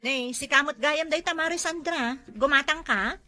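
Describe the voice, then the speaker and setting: A woman's voice: sits high, moderate pace, sharp tone, no room sound. A woman playing a nosy, gossipy village storekeeper in a comedy drama, prying and teasing, with an Ilocano accent.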